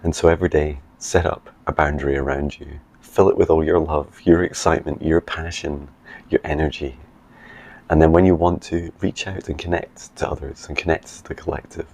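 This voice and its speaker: Very deep, flat-voiced man. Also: vocal fry. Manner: soft, slow affirmations; chatty with many ums in updates. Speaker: psychic mentor. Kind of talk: meditation messages and video diary updates.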